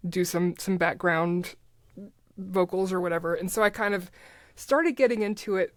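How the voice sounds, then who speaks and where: A woman's voice: lowish voice, moderate pace, dry recording. An American woman chatting casually as an interview guest, thinking aloud as she explains things informally. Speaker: podcast guest, voice actor and advertising project manager.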